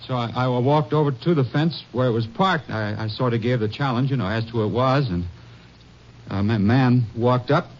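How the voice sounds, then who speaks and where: A man's voice: medium pitch, normal speed, close to an everyday voice. A man playing a stammering nervous soldier. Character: nervous young male soldier, evasive and anxious. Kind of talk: 1950s radio drama acting. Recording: muffled old radio broadcast with faint hiss.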